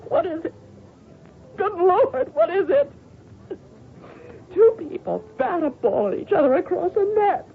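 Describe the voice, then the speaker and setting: A woman's voice: very high-pitched, sing-song, unhurried, creaky, pauses often, exaggerated voice. An actress playing a despairing, worn-out female tennis champion, sardonic and bitter, in a radio drama. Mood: anguished, bitter. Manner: sardonic, weary, dramatic swings into anguish. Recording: tinny phone-like old radio broadcast, music behind.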